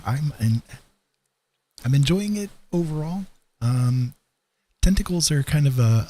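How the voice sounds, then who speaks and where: Mellow, husky man chatting casually. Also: mid-range voice. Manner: hesitant, thinking aloud. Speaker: livestream host and digital painter. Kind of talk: casual rambling livestream chat.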